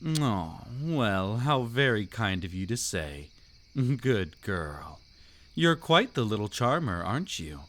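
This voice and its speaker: A man's voice: fairly low pitch, very animated, slow pace, a bit bright, exaggerated voice. A man voicing a suave, predatory old vampire count in a scripted roleplay audio, with an aristocratic, silky, teasing and theatrical delivery. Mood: charming, coy.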